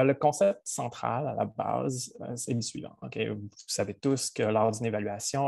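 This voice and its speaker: A Québécois man presents a teaching webinar over a video call, halting and full of fillers. His voice is low, dark and gravelly with a husky edge. The sound is dry and close. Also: dry recording. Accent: Québécois French. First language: French. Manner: hesitant, many fillers.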